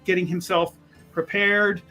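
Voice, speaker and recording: A man playing a tabletop roleplaying game, casually improvising his character's actions in the third person like an animated storyteller. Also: high voice, unhurried, soft background music.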